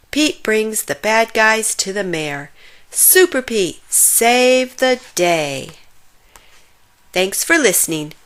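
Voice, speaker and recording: A woman narrating a children's picture book in an expressive, deliberate storytelling manner for young listeners. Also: on the high side. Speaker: read-aloud storyteller for children.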